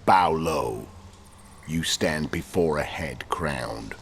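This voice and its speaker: Grim kingslayer, extremely low pitch, slow measured delivery, cold, commanding, terse, video game. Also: male voice, lively intonation, vocal fry, fairly bright tone, a little hiss or hum, heavily acted. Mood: mocking, commanding. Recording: music behind the voice.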